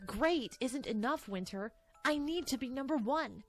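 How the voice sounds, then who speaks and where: A woman plays a proud young unicorn mare who lives for her studies, her voice fairly high. She speaks curtly and dismissively, with soft music behind her. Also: lively intonation, a little slow. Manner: curt, dismissive.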